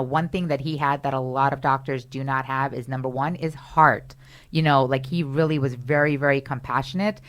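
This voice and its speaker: Chatty woman radio host, low voice. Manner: chatty and effusive, lots of "you know". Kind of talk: casual radio interview. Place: radio studio.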